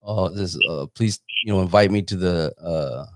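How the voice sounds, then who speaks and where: A man's voice: deep voice, moderate pace, dry recording, studio quality. A man hosting a casual live interview, talking in an informal, rambling way. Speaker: interviewer and host; photographer.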